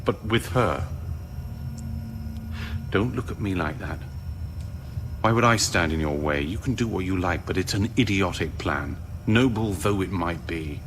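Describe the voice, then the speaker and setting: An actor playing a blunt, cynical scientist in a radio drama, with a sardonic, argumentative way of talking. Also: male voice, low voice, a little slow, pauses often, a bit bright, a little hiss or hum. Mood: dismissive, explaining. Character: cynical, blunt male scientist, world-weary realist.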